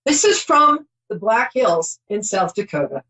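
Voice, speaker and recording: A woman's voice: mid-range voice, big pitch swings, unhurried, bright, forceful tone, rough recording. A middle-aged woman hosting a call-in psychic show, chatty and reassuring, rambling with many ums.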